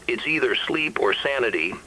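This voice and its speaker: A man acting a weary, despairing husband and father cut off by a sleepless epidemic, in a halting confessional monologue for an analog horror drama. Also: medium pitch, animated, bright, forceful tone, rough recording. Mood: bitter, grim.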